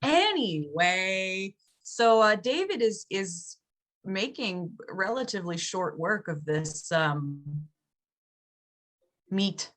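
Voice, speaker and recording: A woman improvising narration and chatting casually in a tabletop horror game, building descriptions haltingly, phrase by phrase. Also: mid-range voice, sing-song, a bit bright. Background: faint music.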